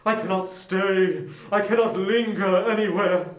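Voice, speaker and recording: An actor playing the tormented ghost of a dead businessman in a dramatic reading, declaiming in drawn-out, anguished remorse. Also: sits high, steady tone. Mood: mournful, weary. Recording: echoey room sound.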